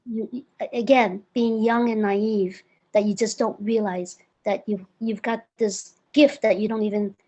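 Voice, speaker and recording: A woman's voice: mid-range voice, steady tone, a little slow, warm tone. A woman in her fifties, born in Hong Kong and raised in the United States, eagerly telling her story as an interview guest. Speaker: guest, real estate broker. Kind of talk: conversational interview.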